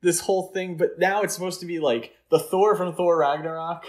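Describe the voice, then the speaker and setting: A man's voice: a little high, steady tone, a little fast, a little mellow. A man co-hosting a podcast, chatting about films in an easygoing, jokey and conversational style. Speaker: podcast co-host. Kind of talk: casual chat, film discussion.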